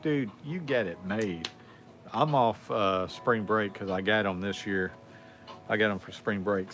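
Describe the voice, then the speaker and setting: A man's voice: fairly low pitch, a bit bright, a little hiss or hum. A man, chatting casually on a livestream in a rambling, laid-back way with lots of fillers. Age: 40s. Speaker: livestream host. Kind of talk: casual chat.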